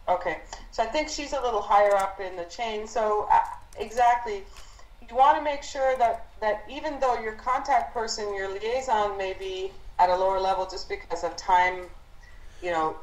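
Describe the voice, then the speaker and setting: A woman leading a team conference call as an instructor, explaining business matters in a halting, encouraging way as she thinks aloud. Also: medium pitch, darkish tone. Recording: roomy conference call audio.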